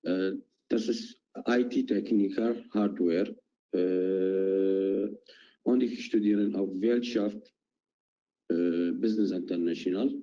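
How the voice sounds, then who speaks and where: A man from Iraq, answering interview questions in halting, hesitant German with many fillers over a video call. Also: low-pitched, fairly even intonation, warm tone. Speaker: project participant. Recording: dull lo-fi online call audio.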